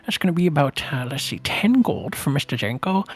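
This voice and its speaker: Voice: mid-range voice, sing-song, fairly quick, husky. A performer voicing an eager, chatty stable merchant in a tabletop game, with hesitant, folksy sales patter. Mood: salesman patter. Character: eager, chatty stable merchant selling riding lizards, wheeling and dealing.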